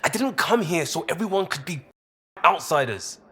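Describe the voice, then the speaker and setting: A man's voice: on the high side, animated, normal speed, pushed and bright. Restless young British crewman. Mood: frustrated. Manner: clipped, informal phrases. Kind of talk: video game voice acting. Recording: game dialogue over faint music.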